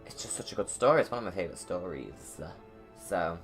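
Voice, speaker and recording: Low voiced creator rambling casually over their film, gushing like a big fan, soft music in back. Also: animated, a little fast. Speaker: video creator giving commentary.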